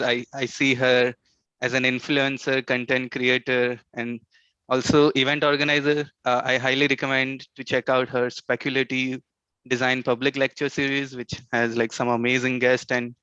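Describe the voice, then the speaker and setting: A man hosts a live online interview in a bright, clean-toned voice. His pitch stays quite flat as he introduces and questions. The call audio is dry and a little dull. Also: clean tone, no room sound. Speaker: interview host. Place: remote online video call.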